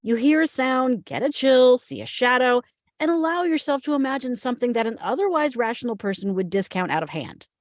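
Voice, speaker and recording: An actress playing a skeptical, impatient and rational FBI agent at a script table read, matter-of-fact and argumentative. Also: female voice, on the high side, lively intonation, brisk, no echo, studio quality, lightly acted.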